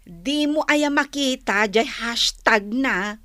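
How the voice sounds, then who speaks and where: A performer playing a gossipy, excitable parlor worker in a Filipino radio comedy, campy, with drawn-out exclamations and a Filipino accent. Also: medium pitch, dry recording, clean studio sound. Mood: gossipy, teasing.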